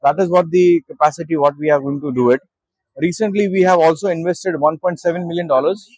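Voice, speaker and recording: A man in his thirties with an Indian English accent, a company director walking an interviewer through his products at an exhibition stall and pausing with fillers as he goes. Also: fairly high pitch, fairly even intonation, darkish tone, husky, no room sound, rough recording. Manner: hesitant, with many fillers and restarts. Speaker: managing director at a trade show. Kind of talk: guided product walkthrough. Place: busy exhibition hall stall.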